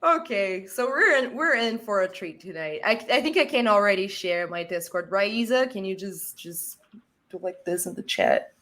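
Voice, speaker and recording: A woman's voice: medium pitch, expressive, moderate pace, fairly bright tone. Brazilian lady w accent hosting a stream, laughs a ton, casual, bit of swearing. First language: Portuguese. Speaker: stream host. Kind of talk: casual live-stream chat.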